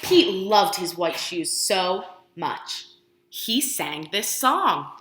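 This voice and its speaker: A woman teacher doing an expressive picture book read-aloud for young children, asking questions with encouraging, exaggerated delivery and pausing for answers. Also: on the low side, echoey room, soft background music.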